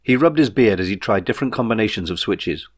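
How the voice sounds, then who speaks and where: A man reading his own novel as a scripted audiobook, in a measured storytelling manner. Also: on the low side, animated.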